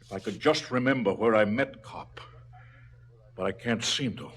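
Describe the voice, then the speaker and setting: An actor playing a callous, blustering male crime boss in a stylized radio drama, slangy and falsely fatherly with a husky voice. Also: fairly low pitch, moderate pace, background noise, natural voice. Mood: mock-generous.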